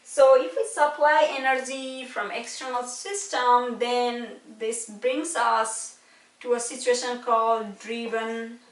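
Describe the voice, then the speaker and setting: Woman lecturer, Nepali accent, slightly high voice, informal explaining style, frequent checking questions, strong room echo. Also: fairly even intonation, takes it slowly. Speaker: physics lecturer. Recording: echoey room, distant mic.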